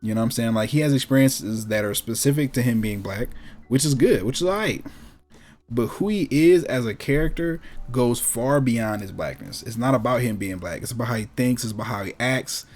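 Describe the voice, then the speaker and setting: A man's voice: medium pitch, a little fast, a little mellow. Emphatic, slangy man hosting casually. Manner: emphatic, slangy, profane, opinionated. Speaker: host of a gaming talk show. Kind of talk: casual chat about video game movies and anime.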